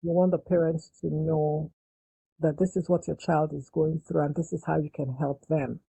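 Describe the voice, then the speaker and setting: A Nigerian woman with a Nigerian accent, a podcast guest calmly explaining her work with young people. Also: deep voice, moderate pace, gravelly, husky, dry recording, rough recording. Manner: calm, explanatory, eases into points with fillers. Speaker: guest, youth mental health foundation founder. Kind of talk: casual interview conversation.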